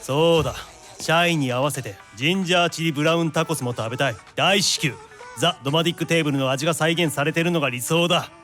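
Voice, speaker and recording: An actor playing a haughty, dry-witted young detective in an audio drama, giving orders in a brisk deadpan. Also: male voice, on the high side, faint music behind, close to an everyday voice. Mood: playfully demanding. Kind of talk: light audio drama acting.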